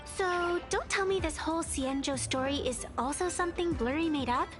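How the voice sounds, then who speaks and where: A woman's voice: sits high, normal speed, close to an everyday voice. A woman voicing a playful, philosophical young female game character who teases coolly. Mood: dryly amused. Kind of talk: video game voice acting. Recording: faint game music behind.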